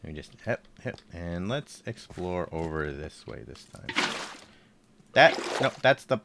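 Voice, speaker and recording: Man, very deep voice, congested, chatty game commentary, noisy mic with keyboard clicks. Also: big pitch swings, unhurried, vocal fry. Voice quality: low voice. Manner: chatty, thinks aloud while playing. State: congested, throat tickle. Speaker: game streamer. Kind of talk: casual live gameplay commentary.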